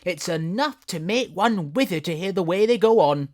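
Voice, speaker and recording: A storyteller voicing a proud, bossy tiger lily flower in a loud, high, drawn-out scolding voice. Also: lively intonation, natural voice. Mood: indignant.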